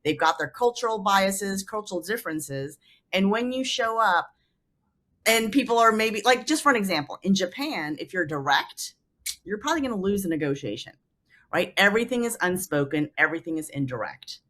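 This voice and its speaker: A woman's voice: mid-range voice, lively intonation, moderate pace, fairly bright tone. A woman interviewing a guest as podcast host, conversational, setting up her questions with examples.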